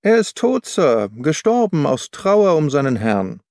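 A man's voice: on the high side, very animated, a little slow, a little mellow, no room sound, clean studio sound. A man voicing an old, gossipy, sorrowful housekeeper woman in an audiobook. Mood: sorrowful gossip. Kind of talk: audiobook character voice.

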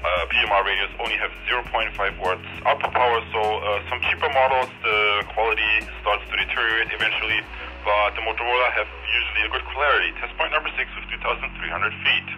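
A man speaks over a walkie-talkie in a casual running test commentary. His voice is fairly low, and he repeats phrases like radio announcements. Music plays clearly behind him. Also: fast, sharp tone, rough recording. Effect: heard over a walkie-talkie radio. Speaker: product reviewer. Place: outdoors, transmitted by two-way radio.

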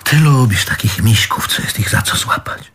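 A man's voice: medium pitch, animated, normal speed, bright, forceful tone, slight background noise. A histrionic, wounded man on stage. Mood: sulky, wounded. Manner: histrionic, querulous, colloquial. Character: neurotic, wounded, bitter middle-aged man quarrelling with his lover. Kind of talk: theatrical stage play acting. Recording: live theatre recording with audience.

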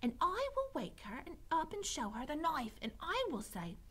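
A dim but eager young serving girl from a storybook. Her voice jumps very high and bright, with blunt, uneducated phrasing and big ambition. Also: huge pitch swings, normal speed, exaggerated voice. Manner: blunt, uneducated, ungrammatical speech. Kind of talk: storybook character voice.

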